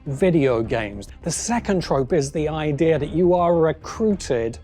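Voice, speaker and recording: A man narrating a scripted video essay as host, phrasing deliberately with pauses for emphasis. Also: a little high, lively intonation, mellow, soft background music. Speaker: host, science fiction critic.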